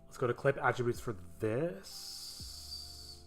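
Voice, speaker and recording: Male animator, mid-pitched, casual work-along commentary, talking to himself, very varied intonation, self-questioning. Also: expressive, takes it slowly, faint music behind. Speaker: animator editing his own show.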